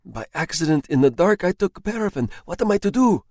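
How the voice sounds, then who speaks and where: A man's voice: sits high, sing-song, fairly quick, a little mellow, no room sound, studio quality, voice pushed up, full character voice. A man voicing a timid, frightened clerk who stammers and pleads. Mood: frightened pleading.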